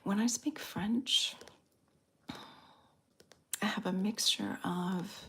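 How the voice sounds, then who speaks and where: A woman from the Southern US with a slight Southern accent, softly whispering in an unhurried, drawn-out way as she chats with viewers in an ASMR stream. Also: medium pitch, breathy edge. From Southern United States. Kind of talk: ASMR whispered live chat.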